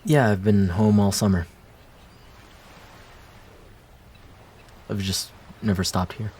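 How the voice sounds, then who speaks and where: A man acting a wistful young ex-boyfriend in an intimate roleplay audio drama, speaking casually and hesitantly, with pauses and trailing phrases. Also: fairly low pitch, fairly even intonation, darkish tone, slight background noise, close to an everyday voice. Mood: subdued, hesitant.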